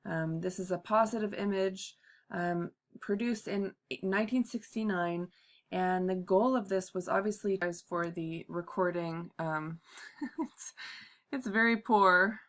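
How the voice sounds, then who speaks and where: A woman giving a class history presentation, halting often with ums and uhs. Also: fairly low pitch. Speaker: student presenter.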